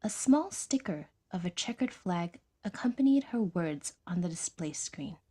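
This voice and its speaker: A woman's voice: lowish voice, expressive, unhurried, dark tone, breathy edge. A woman reading scripted fiction narration in the manner of an audiodrama storyteller.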